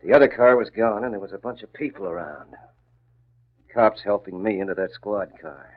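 A low-voiced, slightly husky newspaper guy in a muffled 1940s radio play. He talks in streetwise slang, sardonic and grumbling, always ready with a wisecrack. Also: normal speed. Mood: rueful. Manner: sardonic, colloquial. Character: wisecracking, grumbling working-class male newspaper employee. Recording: muffled vintage radio recording.

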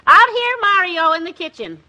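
An actress playing a sensible, homey middle-aged housewife with a wry manner in a 1950s radio comedy. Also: female voice, very high voice, sing-song, normal speed, sharp tone, full character voice, low-quality recording. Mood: busy, cheerful.

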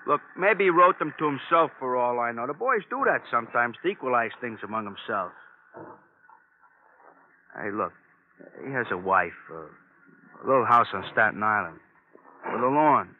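A man's voice: mid-range voice, animated, moderate pace, pauses often, lightly acted. Mid-range man, acted brusque defensive foreman, colloquial talk, old radio drama, narrow hissy sound. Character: gruff, defensive, evasive working-class milk plant foreman. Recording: noisy, tinny old radio recording.

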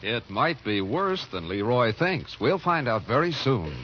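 A man's voice: mid-range voice, sing-song, measured pace, a bit bright, faint music behind. A man working as a radio announcer, delivering scripted narration and advertising copy in a 1940s style. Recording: muffled vintage radio broadcast.

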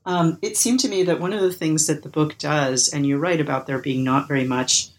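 Woman host, measured delivery, book podcast, hesitant questions. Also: low-pitched, steady tone, a little hiss or hum. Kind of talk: scripted introduction and interview questions.

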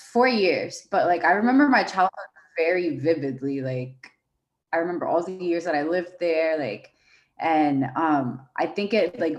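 A young woman from Venezuela, a fashion brand founder, talking as a podcast guest in a relaxed way with many fillers. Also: deep voice. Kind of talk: casual interview chat.

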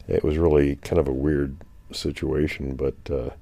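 A man's voice: extremely low pitch, level tone, moderate pace, creaky, warm tone, dry recording. A man talking casually on a podcast, recounting field finds in a rambling way with many restarts. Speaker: guest anthropologist.

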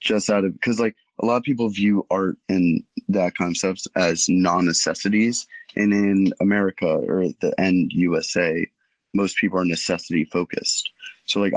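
Man podcaster, deep gravelly voice, dry sound, musing and hesitant. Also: fairly even intonation, normal speed, no room sound, low-quality recording. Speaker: podcast co-host. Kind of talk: casual chat.

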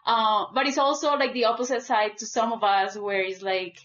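A woman's voice: on the high side, steady tone, moderate pace, a bit bright. A woman from Colombia co-hosting a podcast, chatting casually with many likes and restarts. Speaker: podcast co-host. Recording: muffled lo-fi audio.

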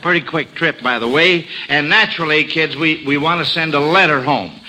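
A man's voice: a little high, moderate pace, bright, forceful tone, low-quality recording. An American man hosting an old-time radio quiz show for children, with folksy, playful, exclamatory patter. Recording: old radio recording with background noise.

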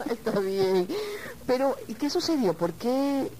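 A woman's voice: high-pitched, animated, slow, mellow, rough recording. A woman hosting a conversational interview, chatty and complimentary, prompting her guest with questions. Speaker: interviewer and host.

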